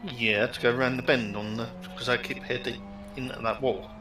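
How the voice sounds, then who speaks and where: A man's voice: mid-range voice, steady tone, moderate pace. A man with a London accent chatting casually during a racing game, blunt and sweary. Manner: blunt and sweary, defends his own driving. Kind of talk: casual gaming chat. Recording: roomy, distant mic with music behind.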